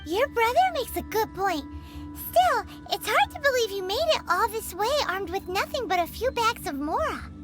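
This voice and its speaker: A woman's voice: very high voice, big pitch swings, moderate pace. A woman voicing a tiny, cheeky fairy-like girl companion in a game, chirpy and teasing. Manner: chirpy, teasing, talks of herself by name. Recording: game cutscene with loud music.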